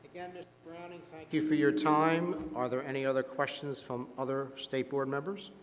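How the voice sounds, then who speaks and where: Man as probing regulatory board member, fairly high male voice, measured and formal, staged hearing in a reverberant hall. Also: steady tone, natural voice. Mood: courteous, formal. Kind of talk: scripted dramatization of a hearing. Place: large meeting hall with sound system. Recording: long reverb of a large hall.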